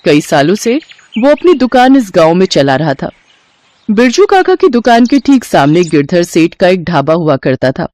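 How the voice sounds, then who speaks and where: A woman's voice: fairly low pitch, a little mellow, no room sound. A woman narrating a scripted story as the storyteller.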